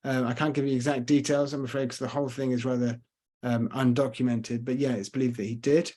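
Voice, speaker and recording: A man's voice: medium pitch, flat delivery, fast, dark tone. Tour guide, male, London accent with slight Scottish traces, chatty, hesitant, video call mic. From Scotland and London. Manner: chatty, hesitant with many fillers. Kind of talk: casual online lecture with Q and A. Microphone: computer mic on video call.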